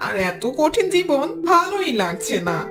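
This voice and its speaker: A woman's voice: high-pitched, very animated, moderate pace. A woman voicing a fretful cartoon bird, plaintive and complaining. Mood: plaintive chatting. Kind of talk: cartoon character voice acting. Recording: faint music under the voice.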